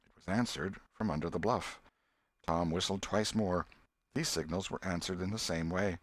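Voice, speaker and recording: Male audiobook narrator, deep register, measured and expressive, close dry sound. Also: dry recording. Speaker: audiobook reader. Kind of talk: scripted narration of a classic novel.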